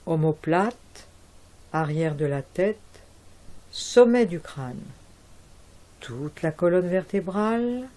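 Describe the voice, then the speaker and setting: A woman leading a guided yoga nidra relaxation as an instructor, giving calm, measured instructions with deliberate pauses. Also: lowish voice.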